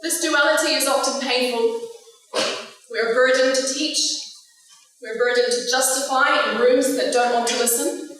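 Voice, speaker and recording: A woman from Palestine gives a prepared speech at a lecture, with a measured and impassioned delivery. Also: high voice, fairly even intonation, a bit bright. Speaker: guest speaker. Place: large lecture hall. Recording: echoey hall recorded from far off.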